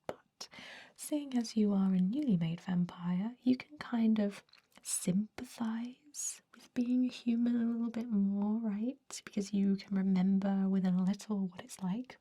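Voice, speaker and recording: A woman voicing a centuries-old vampire job interviewer in a breathy whisper, chatty and confiding, in an ASMR roleplay. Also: medium pitch, fairly even intonation, measured pace, warm tone, close to an everyday voice. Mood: persuasive, friendly. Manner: gossipy, confiding, hesitant rambling. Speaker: human resources interviewer.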